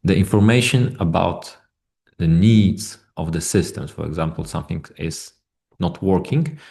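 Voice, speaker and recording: A man who is not a native English speaker giving a technical product presentation in a webinar, speaking haltingly with many hesitations and repeated words. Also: low voice, creaky, dark tone.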